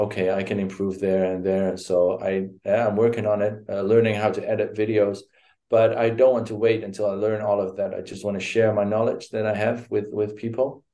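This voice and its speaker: Dutch-accented man, halting, mellow voice. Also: fairly low pitch. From Netherlands. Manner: hesitant, many fillers and restarts. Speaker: guest. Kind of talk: casual interview. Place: home room. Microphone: video call.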